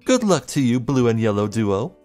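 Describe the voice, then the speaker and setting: A man voicing a solemn, determined woman in a video game, speaking formally and deliberately. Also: mid-range voice, sing-song, darkish tone, soft background music, lightly acted. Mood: resolute. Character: solemn, determined woman writer seeking the truth.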